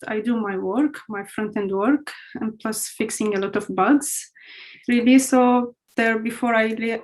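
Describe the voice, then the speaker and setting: Young Syrian-born woman, chatty English. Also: a little high. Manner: chatty, non-native phrasing, many fillers. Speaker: guest, front-end engineer. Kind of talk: casual interview answers.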